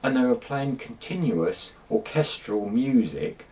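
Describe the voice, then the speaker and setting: A man's voice: mid-range voice, animated, measured pace, darkish tone, far from the mic. A middle-aged man, casually talking about old tape recordings, rambling with many ums and restarts. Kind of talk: casual chat to camera.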